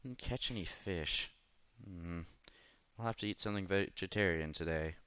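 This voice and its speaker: A man's voice: low-pitched, normal speed, fairly bright tone, clear, resonant tone, no echo, lo-fi sound. A man reading a visual novel aloud as a streamer, mixing narration with casual, conversational asides. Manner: breaks off to react conversationally. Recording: music under the voice. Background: background game music.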